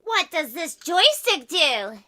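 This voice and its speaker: A cheeky little cartoon girl who loves to race. The voice is very high and piercingly bright, with a playful, childlike squeak. It swings up and down while taking its time between bursts. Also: slow, heavily acted. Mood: curious. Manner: excitable, playful. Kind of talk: live animated-film table read.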